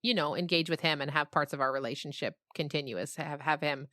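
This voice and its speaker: A woman's voice: sits low, fairly even intonation, a little fast, fairly bright tone, no echo, studio quality. A woman talking casually and reflectively as an interview guest on a podcast, thinking aloud in informal language. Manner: informal, thinking aloud, frequent "like" and "you know".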